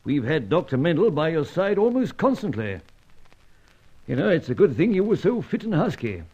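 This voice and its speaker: Posh boss, fussy bluster, bouncy highish voice, lo-fi old radio. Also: male voice, very animated, moderate pace, darkish tone, husky, exaggerated voice. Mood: soothing. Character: Sir Giles, fussy upper-class British chief. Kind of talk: 1950s radio drama acting. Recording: dull lo-fi old radio recording.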